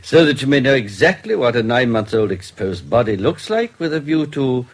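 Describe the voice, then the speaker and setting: A genial British coroner in a radio play. His husky voice moves expressively, and his manner is formal and effusively polite. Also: mid-range voice, animated, brisk. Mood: genial, curious. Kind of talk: radio drama acting.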